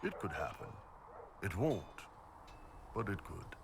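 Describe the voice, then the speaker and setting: A man's voice: deep voice, very animated, measured pace, gravelly, noisy background, full character voice. A man playing a huge horned mercenary captain in a video game, blunt, shrewd and easygoing, speaking colloquially. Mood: casual, explanatory. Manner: laid-back, blunt, colloquial.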